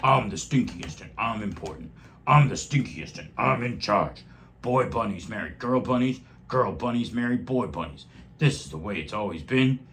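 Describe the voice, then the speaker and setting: A man voicing a pompous, bossy male stink bug who is in charge, speaking in loud, slow, drawn-out decrees. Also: fairly low pitch, animated, a little hiss or hum.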